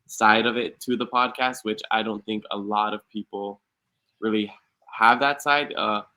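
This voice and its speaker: A man's voice: fairly low pitch, fairly even intonation, normal speed, pushed and bright. A young man from Hawaii whose first language is Hawaiian, talking casually as a podcast guest, full of "you know" fillers and restarts. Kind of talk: casual interview talk.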